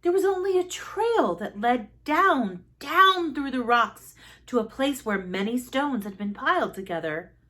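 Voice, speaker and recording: A woman reading a children's story aloud as expressive narration, with deliberate dramatic emphasis and pauses. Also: high-pitched. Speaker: storybook reader.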